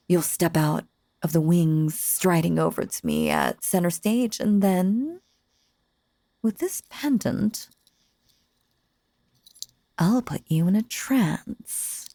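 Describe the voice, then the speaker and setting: Audio roleplay: possessive stage magician, sinister and sweet, female performer, unhurried, breathy to whispering, close and dry, theatrical pauses. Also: lowish voice, lively intonation, warm tone, no room sound, full character voice. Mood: gleeful, scheming. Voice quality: breathy, often slipping into whispers. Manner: theatrical, coaxing, drawn-out phrasing with dramatic pauses. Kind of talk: intimate roleplay audio acting, ASMR-like.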